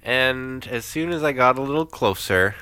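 A man's voice: mid-range voice, lively intonation, normal speed, pushed and bright, clean tone, dry recording. A man co-hosting a casual podcast chat, sniffly with a cold, rambling and self-deprecating as he trails off. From Canada. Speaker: podcast co-host. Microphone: studio microphone.

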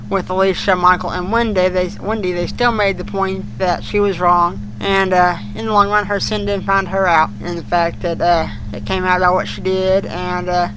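A man co-hosting a podcast in casual chat, with a folksy, halting, colloquial way of talking. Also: steady tone. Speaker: podcast co-host. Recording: noisy recording with humming rumble.

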